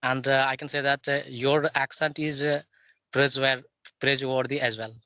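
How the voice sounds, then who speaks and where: A man with an East Indian accent practising English conversation in a formal, deferential and somewhat halting manner. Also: mid-range voice, flat delivery, sharp tone, dry recording. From Jharkhand, India.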